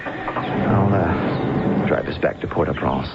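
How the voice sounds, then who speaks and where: Guarded reassuring guy in old radio drama, gravelly low voice, hesitant. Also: slow pace, heavily acted. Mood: subdued. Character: evasive, protective man calming a worried darling. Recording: muffled, noisy old radio recording.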